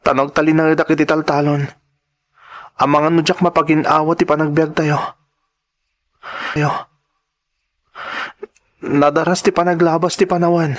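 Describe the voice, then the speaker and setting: A man's voice: fairly high pitch, little pitch movement, a little slow, long pauses, lightly acted. A man playing an earnest, humble young farmer's son in a radio drama, with a pleading manner. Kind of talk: Ilocano radio-drama acting.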